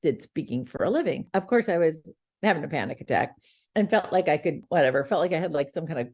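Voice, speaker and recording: A woman's voice: low-pitched, animated, quick pace, darkish tone. Earnest woman coaching her listeners. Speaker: podcast host. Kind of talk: motivational talk.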